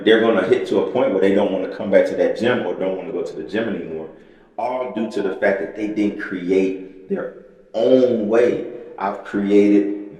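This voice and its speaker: A man with a very low, deep voice talks shop like a personal trainer on a podcast. He circles back on his points in a rambling, motivational way. The room is hard and echoey, with a long trailing reverb. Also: warm tone. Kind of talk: casual podcast chat.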